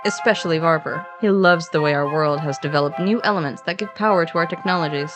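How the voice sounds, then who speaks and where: A woman's voice: low-pitched, fast talker, over music. A woman co-narrating a fiction podcast in a scripted but conversational storytelling style, playful and informal with dry asides.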